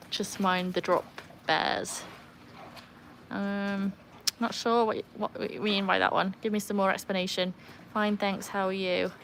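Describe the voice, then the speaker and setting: A woman's voice: mid-range voice, a little hiss or hum. A young woman with a mixed Welsh and English accent, hosting a casual livestream outdoors by a pool, chatting and reading comments aloud. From Wales. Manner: chatty, reads chat comments aloud, laughs easily. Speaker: livestream host.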